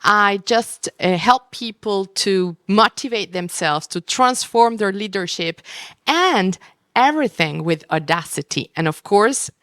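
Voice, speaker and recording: Spanish-accented woman, warm and slightly halting, crisp resonant voice. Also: medium pitch, animated, dry recording, studio quality. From Spain. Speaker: panelist, international speaker and coach. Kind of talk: live panel conversation. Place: convention stage.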